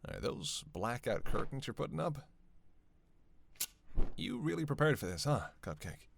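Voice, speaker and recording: A man's voice: mid-range voice, very animated, unhurried, vocal fry, a little hiss or hum. A man playing a sardonic, flirtatious male vampire in a roleplay audio drama, with a teasing, playful and intimate manner. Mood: grumbling, casual. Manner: teasing, foul-mouthed, playful, intimate delivery with sighs. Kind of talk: scripted roleplay audio drama addressed to listener.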